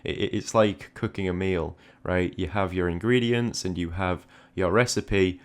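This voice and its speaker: Very low-pitched man, reflective monologue. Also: fairly even intonation, normal speed. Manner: conversational, reflective, repeating words as he thinks. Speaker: art channel host. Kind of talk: reflective spoken monologue giving art advice.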